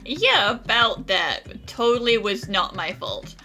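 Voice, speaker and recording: A woman's voice: medium pitch, big pitch swings, takes it slowly, pushed and bright, faint music behind. A woman giving casual, joking, offhand commentary while she plays a video game. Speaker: gaming streamer.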